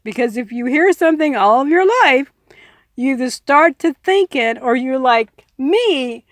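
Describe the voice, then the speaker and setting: A woman's voice: high voice, expressive, unhurried, dry recording, clean studio sound. A woman reading a book aloud earnestly, in an informal audiobook style with personal asides. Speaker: reader and commentator.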